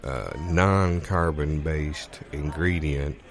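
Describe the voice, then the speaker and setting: Very low-voiced man, rambling deadpan. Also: slow pace. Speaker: improv comedy performer. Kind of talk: improvised deadpan comedy dialogue.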